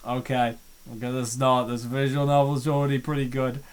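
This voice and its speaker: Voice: mid-range voice, little pitch movement, a little fast, smooth. A streamer giving casual, joking commentary over a visual novel, laughing and rambling. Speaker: game streamer. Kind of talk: casual let's-play commentary.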